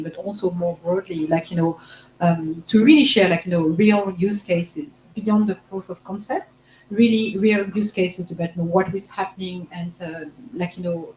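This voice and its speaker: A woman's voice: on the low side, a little mellow. French-accented woman, chatty and filler-heavy. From France. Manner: heavy use of "like, you know" fillers. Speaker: panelist, marketing manager. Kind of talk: panel discussion. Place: conference panel room. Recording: echoey room, faint hum.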